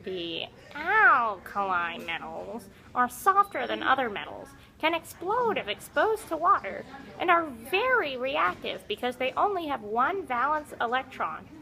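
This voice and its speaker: A woman's voice: high voice, sharp tone, full character voice. A woman voicing a grumpy, conceited old talking puppet who lectures impatiently in an educational puppet show. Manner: haughty, exasperated, scolding, lecturing.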